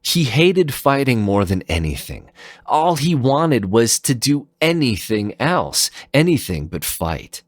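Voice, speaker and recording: A man voicing an amnesiac android warrior who sounds bewildered, gentle and sincere, hesitant and wondering, in a dramatic fan fiction reading. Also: medium pitch, very animated, slow, no room sound, clean studio sound, voice pushed up. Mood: troubled, hopeful.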